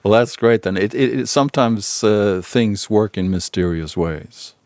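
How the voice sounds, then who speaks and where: A male host with a deep voice interviews in a casual, conversational way. He repeats words as he shapes each question, and the sound is close and dry, free of room echo. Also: measured pace, darkish tone.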